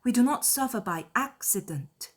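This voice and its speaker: A woman plays a young gentlewoman from a classic novel, witty and full of spirit. Her delivery is emphatic and archly ironic, with a slightly husky edge to the voice. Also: a little high, animated, normal speed, voice pushed up. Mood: bitter, indignant. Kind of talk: dramatized classic novel audiobook.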